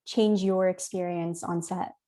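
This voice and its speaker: A woman's voice: lowish voice, steady tone, a little slow, mellow. A woman with an American accent moderating a casual interview as a journalist, informal and peppering her questions with "like". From United States. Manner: informal, frequent 'like'. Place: remote virtual event.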